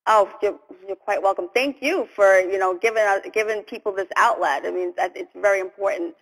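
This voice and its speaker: A woman hosting a conversational interview, speaking supportively in a halting way with repeated phrases. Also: medium pitch, a bit bright, long reverb tail, poor audio. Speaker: host, interviewer.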